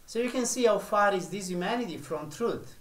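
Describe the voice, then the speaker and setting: Slow-speaking male spiritual teacher, echoey. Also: high-pitched, expressive, a bit bright. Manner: slow, deliberate delivery with long pauses.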